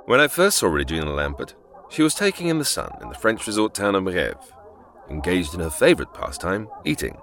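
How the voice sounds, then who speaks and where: A man's voice: low-pitched, big pitch swings, a little fast, a bit bright, exaggerated voice. A man playing a suave, courteous, observant leading man in an audio drama. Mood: wry, storytelling. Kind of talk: audio drama narration and acting.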